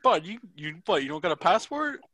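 A man with a fairly high voice talks as a guest on a podcast. His style is casual and blunt, full of slang and buddy talk. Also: sing-song, brisk, pushed and bright, a little hiss or hum. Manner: slangy, blunt, calls people bud. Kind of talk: casual chat.

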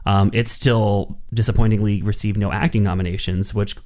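A man's voice: lowish voice, steady tone, normal speed, a little mellow. A man co-hosting a film podcast in casual chat, talking with a dry, wry sense of humor.

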